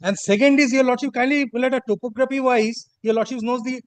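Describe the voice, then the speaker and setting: A man with a Bengali Indian accent argues formally as a lawyer before a judge. He speaks quickly in a high, dry-sounding voice, keeping a deferential manner. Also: steady tone, no room sound. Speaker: lawyer making submissions to a judge. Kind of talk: formal courtroom argument.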